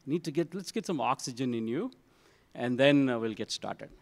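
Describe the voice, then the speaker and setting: A man moderating a business panel at a conference, introducing the speakers to the audience in a relaxed, halting way with many fillers. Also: mid-range voice, no room sound. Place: conference session room.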